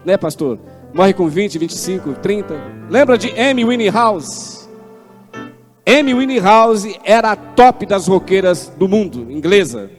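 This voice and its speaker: A man, preaching a sermon with fervent swings between loud declaiming and low asides. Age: 60s. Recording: long hall reverb, faint instrument music behind.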